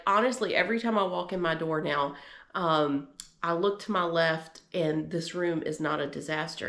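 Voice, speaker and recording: A woman's voice: on the low side, creaky, echoey room. A woman in her forties, congested from a cold, chatting casually and encouragingly in a rambling home vlog. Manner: rambling, warm, encouraging, many fillers. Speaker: homemaking vlogger. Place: home office.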